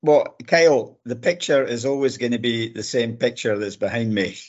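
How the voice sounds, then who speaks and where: A middle-aged or older man with a slightly hoarse voice, co-hosting a podcast with casual sports analysis. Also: medium pitch, steady tone, normal speed, a little mellow, highs cut off. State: a bit hoarse. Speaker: podcast co-host. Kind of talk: casual sports commentary chat.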